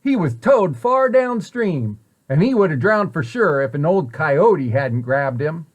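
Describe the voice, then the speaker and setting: A man reading a children's story aloud in an expressive way, with deliberate, dramatic emphasis. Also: a little high. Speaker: storybook reader, site director at a children's center.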